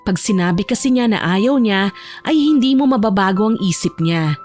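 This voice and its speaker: A woman's voice: medium pitch, expressive, moderate pace, darkish tone, faint music behind. A woman narrator delivering dramatic scripted storytelling for an audio drama.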